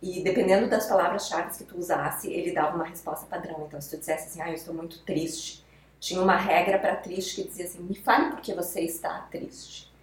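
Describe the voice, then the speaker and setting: A woman with a notably low voice, explaining things patiently one step at a time. She sounds like a guest expert walking listeners through examples. The recording has a roomy echo around her. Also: fairly even intonation, a little fast. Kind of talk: explanatory podcast talk.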